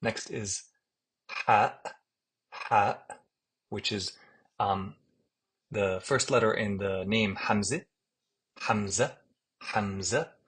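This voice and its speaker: A man with a Palestinian accent teaching an alphabet lesson, saying each letter name slowly and repeating it patiently. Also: fairly low pitch, fairly bright tone, slightly rough. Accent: Palestinian Arabic. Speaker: Arabic teacher. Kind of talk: screen-share alphabet lesson.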